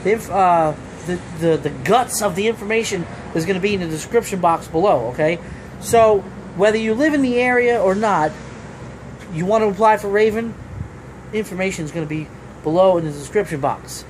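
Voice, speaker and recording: A man's voice: high voice, fairly quick, a little hiss or hum, rough recording. A man, vlogging casually outdoors on a phone held at arm's length, rambling with lots of fillers and "okay?". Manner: rambling, filler-heavy, keeps saying okay. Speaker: trucker vlogger. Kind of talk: casual vlog promo. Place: outdoors under a building awning. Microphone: phone camera at arm's length.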